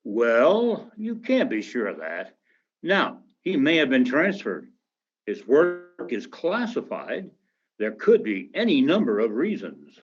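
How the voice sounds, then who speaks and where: An actor playing a businesslike investigator in a radio drama, questioning politely and giving little away. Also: male voice, medium pitch, huge pitch swings, takes it slowly, muffled recording. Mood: cautiously reassuring. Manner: probing, politely noncommittal. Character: businesslike, evasive male investigator following orders.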